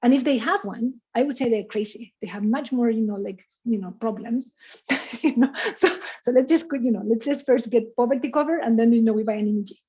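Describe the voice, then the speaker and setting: A woman's voice: a little high, a little fast, warm tone. A woman from Chile, an invited speaker answering questions after her online lecture, talking freely with lots of "you know" and "like". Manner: talkative, heavy on "you know" and "like", laughs often. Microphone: video call. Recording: muffled video-call audio.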